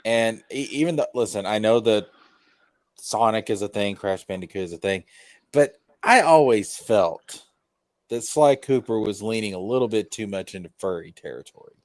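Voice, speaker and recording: A man's voice: on the low side, normal speed, no room sound. A man in a casual gaming chat, talking in a bombastic, emphatic and profane way.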